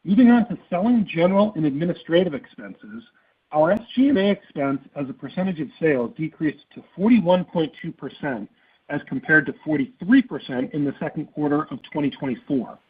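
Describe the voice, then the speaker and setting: A man reading a scripted financial report on an earnings call in a formal, measured manner. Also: a little high, animated, slightly rough. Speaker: company finance executive. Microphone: conference call phone line. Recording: muffled narrowband phone conference line.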